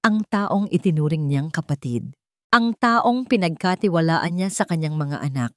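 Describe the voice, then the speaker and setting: Low-voiced woman narrating a dramatic story. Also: animated, moderate pace, fairly bright tone, no room sound, clean studio sound.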